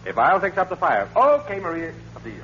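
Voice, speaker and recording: An actor playing a nervous, eager-to-please husband with a hesitant manner in a 1940s radio drama. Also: male voice, high-pitched, huge pitch swings, fairly quick, a bit bright, exaggerated voice. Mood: uneasy, affectionate. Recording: muffled, noisy 1940s radio recording.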